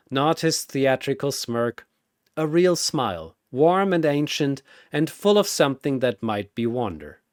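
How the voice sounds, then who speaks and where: A man's voice: mid-range voice, no echo, studio quality. A man delivering dramatic scripted story narration in theatrical, suspenseful short phrases.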